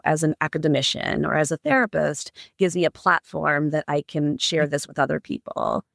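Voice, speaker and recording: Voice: medium pitch, gravelly, fairly bright tone, no room sound, clean studio sound. A middle-aged psychotherapist and professor answering interview questions earnestly, often restarting words and adding "like" and "right?". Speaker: psychotherapist and professor, podcast guest.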